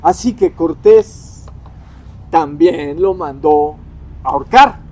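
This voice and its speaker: Slow, emphatic man, higher-pitched voice. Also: expressive, mellow. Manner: deliberate, emphatic, pausing between short phrases. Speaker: historian-critic presenting a critique. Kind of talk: scripted lecture-like critical commentary.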